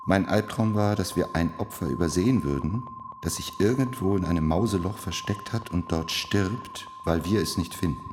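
An actor playing a shaken police investigator who testifies gravely and deliberately in a radio play. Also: male voice, sits low, lightly acted. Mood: grave, burdened. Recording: echoing hall with steady hiss.

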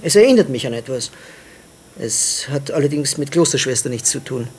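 An actor in a radio drama playing a reflective, gently ironic hospital psychiatrist with a dry, understated manner. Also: male voice, medium pitch, lively intonation, moderate pace, natural voice. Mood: dry, questioning. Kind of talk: radio drama narration and dialogue. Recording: clear ambient noise, vehicle sounds.

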